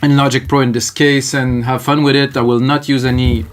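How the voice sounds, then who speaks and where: A man's voice: medium pitch, little pitch movement, fairly quick. A man in his thirties with a French Canadian accent, French his first language, teaching music production live in a loose, thinking-aloud way. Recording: electronic music playing under the voice. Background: faint electronic music.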